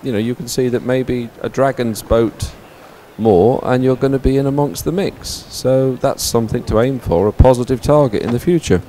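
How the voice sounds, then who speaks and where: Man commentating over clear outdoor noise. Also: medium pitch, normal speed, dark tone. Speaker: sports commentator. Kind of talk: live dragon boat race commentary, chatty.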